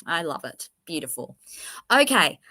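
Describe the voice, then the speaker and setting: A woman hosting a true crime livestream in a casual, chatty way. Her voice is croaky from a virus, and she sounds enthusiastic and self-deprecating. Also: on the low side, very animated, unhurried, pushed and bright, dry recording. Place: at her workplace, indoors.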